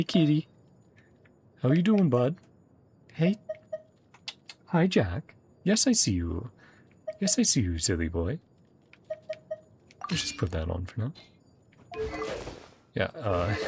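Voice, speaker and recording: Chill guy playing a game and reading stuff out, slow lively talk, music in the back. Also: mid-range voice. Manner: laid-back, chuckling, reads game text aloud. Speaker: gaming streamer. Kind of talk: casual let's-play commentary.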